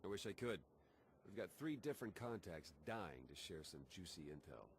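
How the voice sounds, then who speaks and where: An actor playing a casual, confident spy in a video game, speaking informally and reassuringly. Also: male voice, deep voice, big pitch swings, moderate pace. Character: casual, easygoing male spy, confident agent. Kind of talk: video game cutscene acting. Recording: clear background ambient noise.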